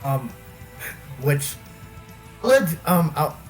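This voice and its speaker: A game commentator improvising casual dialogue haltingly, often repeating phrases while playing. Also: mid-range voice, sing-song, rough recording. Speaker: gaming video commentator. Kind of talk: casual let's play commentary mixed with improvised role-play dialogue. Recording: loud game music behind the voice. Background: loud video game music.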